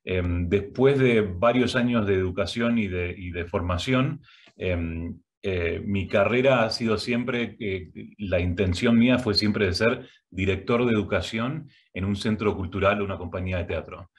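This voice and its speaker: A man's voice: fairly low pitch, a little slow. A middle-aged man with an Argentine Spanish accent, giving a prerecorded video talk from a home office. He hesitates often, with many filler sounds and restarts. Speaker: guest speaker, arts education director. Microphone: webcam, head and shoulders framing.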